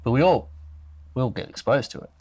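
A man's voice: medium pitch, animated, fairly quick, darkish tone, slightly rough, no room sound. A man hosting a casual chat, rambling and thinking aloud with many restarts.

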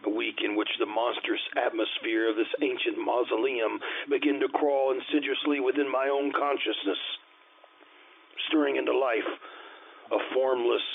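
An actor playing a troubled, loyal gentleman narrator, speaking in a formal, deliberate, dramatic old-time radio drama style. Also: mid-range voice, steady tone, gravelly. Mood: ominous, brooding. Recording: tinny narrow-band radio sound. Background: prominent music.

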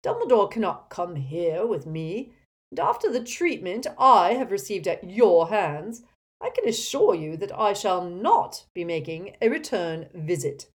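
Woman playing pompous old wizard portrait, loud, scornful, indignant, swooping pitch, storybook character. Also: big pitch swings, measured pace. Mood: scornful, weary. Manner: haughty, supercilious, scornful. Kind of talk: audiobook character acting.

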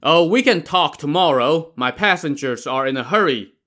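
A man voicing a gruff, scheming male boatman who mocks and threatens his passengers, in a told story. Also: on the high side, animated, moderate pace, fairly bright tone, voice pushed up. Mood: cocky. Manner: mocking, threatening.

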